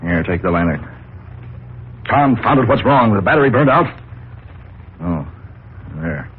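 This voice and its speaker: An actor playing an agitated, obsessed farmer in an old-time radio drama, with intense, urgent delivery. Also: male voice, on the low side, expressive, unhurried, slightly rough, heavily acted. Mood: grimly determined. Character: agitated male farmer obsessed with a prophetic dream. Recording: scratchy, narrow-band old radio broadcast.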